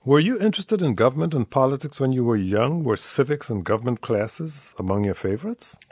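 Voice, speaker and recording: Older male radio host from Guyana, measured and friendly, mid-pitched voice, dry close studio mic. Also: big pitch swings, a little mellow, husky, dry recording. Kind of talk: radio call-in show hosting.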